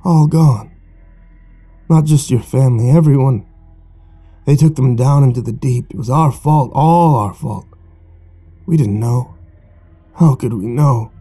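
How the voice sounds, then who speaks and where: A man's voice: medium pitch, a little slow, long pauses, warm tone, slightly rough, soft background music. A man voicing a wasted, dying fisherman who speaks in weak, gasping, broken phrases. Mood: despairing. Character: dying male fisherman, gaunt, gasping and desperate.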